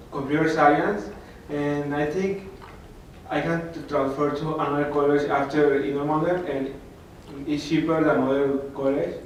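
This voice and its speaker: Young man with a Mexican accent. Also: fairly high pitch. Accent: Mexican Spanish. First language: Spanish. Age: young adult. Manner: hesitant, simple phrasing. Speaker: student panelist. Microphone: handheld mic. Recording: echoey room from far off, faint noise.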